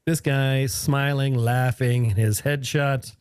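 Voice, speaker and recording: A man's voice: medium pitch, fairly even intonation, slow, a little mellow, clear, resonant tone, no echo, studio quality. A man co-hosting a casual podcast, with a jokey, playful manner of banter. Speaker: podcast co-host. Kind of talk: casual chat.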